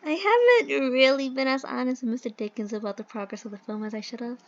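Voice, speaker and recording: A woman's voice: high voice, fast talker, highs cut off. A woman voicing an eager but sheepish young male filmmaker with groovy slang and a hesitant, rambling manner in a comic audio drama.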